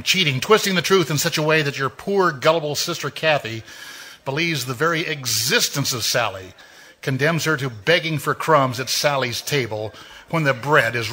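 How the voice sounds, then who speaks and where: A man's voice: medium pitch, sharp tone. A man playing an all-knowing narrator who taunts a jealous woman in a live 1940s-style radio mystery. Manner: insinuating, measured, knowing. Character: mysterious omniscient male narrator taunting a killer.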